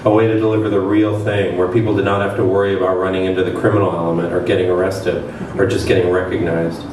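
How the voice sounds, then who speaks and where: A man's voice: low-pitched, flat delivery, fast talker. A man in his thirties giving a dramatic reading of satirical fiction on stage. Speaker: reader at a literary event. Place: stage of a small event room with an audience. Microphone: table microphone on a stand. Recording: very echoey hall, distant mic, noisy.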